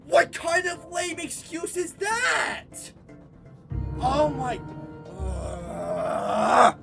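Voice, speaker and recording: A gamer giving let's play commentary, excitable, ranting at the game and making laser sound effects. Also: high-pitched, very slow, vocal fry. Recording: voice over loud game music. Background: game music.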